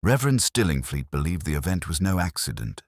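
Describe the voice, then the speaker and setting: Man playing a deep-voiced, shrewd, probing police inspector. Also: sing-song, no room sound, studio quality, exaggerated voice. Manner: soft-spoken, deliberate, probing.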